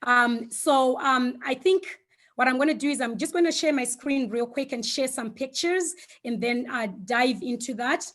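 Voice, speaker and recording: A woman whose first language is Shona, giving an online talk over a video call, with frequent fillers and gentle hesitations. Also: high-pitched, normal speed. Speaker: guest speaker.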